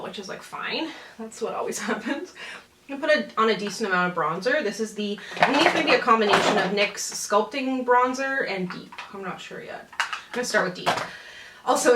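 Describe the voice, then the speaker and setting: A woman's voice: mid-range voice, noisy background. A young woman from Newfoundland, Canada, chatting casually and self-deprecatingly as she hosts a get-ready-with-me beauty vlog. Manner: informal, self-deprecating, giggly asides. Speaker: beauty vlogger, host. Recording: roomy echo, distant mic.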